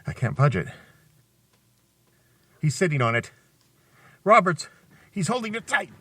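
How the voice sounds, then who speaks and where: A man's voice: high voice, very animated, measured pace, sharp tone, breathy edge, no echo, heavily acted. A man playing a panicked, gasping, stammering man trapped in a coffin in a horror radio drama. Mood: fearful, muttering.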